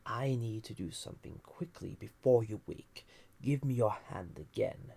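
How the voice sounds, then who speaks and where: A man playing a flirtatious, dangerous banished villain, ancient and lonely, in an intimate roleplay audio drama, breathy and often half-whispered, teasing with languid pauses. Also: fairly low pitch, animated, dark tone, exaggerated voice. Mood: urgent, intent.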